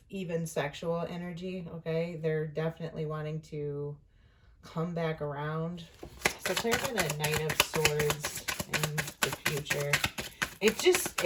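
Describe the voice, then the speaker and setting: A woman giving a casual, unscripted tarot reading, talking conversationally and thinking aloud with pauses as she goes. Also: low voice, slight background noise. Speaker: tarot reader hosting an online reading.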